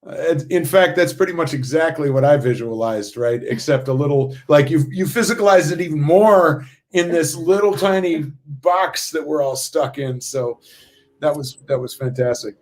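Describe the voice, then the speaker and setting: A man chatting casually as a playwright on a video call, enthusiastic and somewhat halting in his phrasing. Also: fairly high pitch. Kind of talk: casual chat.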